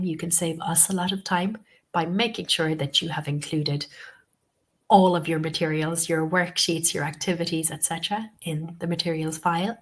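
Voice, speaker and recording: A woman with a slightly low voice and a sniffly cold leads an online teacher-training webinar. She explains things patiently, keeps checking in with "okay" and comes back to the same points more than once. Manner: patient, repeats key points, many "okay"s and "um"s. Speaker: TEFL course tutor. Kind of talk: webinar instruction.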